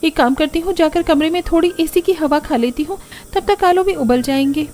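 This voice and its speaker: A woman's voice: high-pitched, normal speed, a little mellow, voice pushed up, lightly acted. A woman voicing an earnest, put-upon young daughter-in-law in a cartoon family drama, polite and plaintive. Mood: plaintive, weary.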